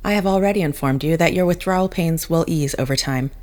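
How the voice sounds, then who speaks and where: An actress plays a stern woman in an audio drama with a notably low voice. She speaks formally and with measured detachment, as if issuing clinical verdicts. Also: a little mellow, close to an everyday voice. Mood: cold, firm. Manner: formal, measured, unemotional diction. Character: stern, coolly formal woman once mentally linked to another.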